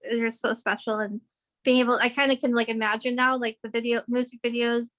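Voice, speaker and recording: A woman in her late twenties, a fan talking casually and haltingly, with many fillers and giggles. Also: fairly high pitch, little pitch movement, fairly bright tone. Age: 20s. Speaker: fan guest. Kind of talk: casual chat.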